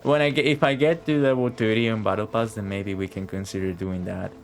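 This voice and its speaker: Low-pitched man rambling through a game stream. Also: fairly even intonation, fairly quick, soft background music. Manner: rambling, repeats words, casual swearing. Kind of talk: casual live gameplay commentary.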